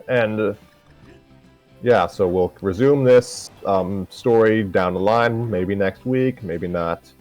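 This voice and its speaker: A man with a fairly low voice hosts a casual chat. He sounds dry and a little exasperated, with faint music under his words. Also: slow pace, a little mellow, dull audio. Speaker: host of a fan fiction reading show. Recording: music playing behind the voice.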